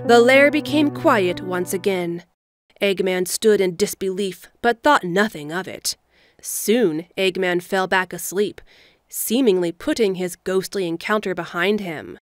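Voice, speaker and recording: A woman reading scripted story narration in a measured, storybook delivery, as the narrator of an audio drama. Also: mid-range voice, no echo, clean studio sound. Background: music.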